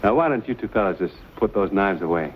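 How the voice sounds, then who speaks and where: An actor playing an easygoing, dryly wry frontier adventurer in an old Western drama, speaking with folksy politeness. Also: male voice, fairly low pitch, animated, fast talker, natural voice. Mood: calm, wry authority. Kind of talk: mid-century Western drama acting. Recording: dull, lightly noisy old broadcast recording.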